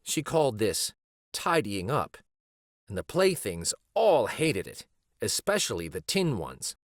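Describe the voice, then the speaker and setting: A man narrating a children's storybook aloud in a deliberate, expressive way. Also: mid-range voice, dry recording, studio quality.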